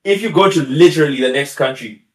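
A man with a fairly high voice talks as a podcast guest in a loose, chatty way. He laughs often and drifts into asides before getting back on track. The room around him is echoey. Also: fast. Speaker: guest YouTuber. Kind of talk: casual podcast conversation.

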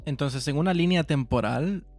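A man's voice: a little high, normal speed, a little mellow, faint music behind. A man hosting a podcast, chatty and joking as he asks his guest questions. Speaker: podcast host. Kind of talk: casual interview chat.

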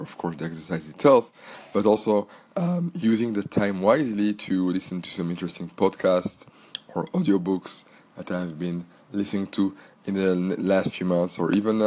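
Deep-voiced French-accented man, middle-aged, hoarse from a cold, earnest solo podcast host. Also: darkish tone. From Belgium. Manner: earnest, repetitive and motivational, with restarts.

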